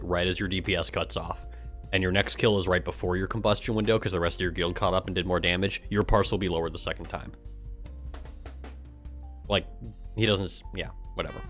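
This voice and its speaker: Male streamer, low register, dry sarcasm and exasperation, casual sweary commentary over faint music. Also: a bit bright. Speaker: streamer and gaming guild member. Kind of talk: live stream reading aloud with sarcastic commentary.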